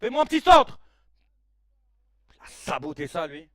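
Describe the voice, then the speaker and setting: A man in his late thirties hosting a casual live stream, reacting excitedly to a football match in slangy chat and shouting at the players. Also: high-pitched, moderate pace, no room sound, clean studio sound, distant mic. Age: 30s. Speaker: live-stream watch-along host.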